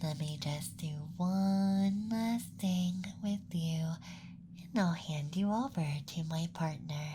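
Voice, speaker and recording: A man voicing a gentle, curious alien examiner in a whispered ASMR roleplay, clinical yet coddling and playfully condescending. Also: sits high, takes it slowly, noisy background. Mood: soft, clinical, coddling.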